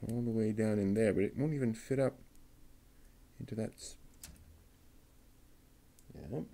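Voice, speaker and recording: A man giving casual running commentary while he builds a model kit, talking slowly and methodically and half to himself. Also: on the low side, dark tone. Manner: muttering to himself, slow and methodical.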